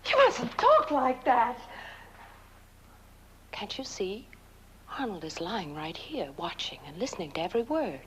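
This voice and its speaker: An actress playing a fearful, trapped young wife in horror-comedy film dialogue, breathy and melodramatic, often sinking toward a whisper. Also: female voice, a little high, wildly swooping pitch, measured pace, long pauses, slight background noise. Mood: anxious pleading. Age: young adult. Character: fearful, trapped young woman married to a corpse. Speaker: film actor.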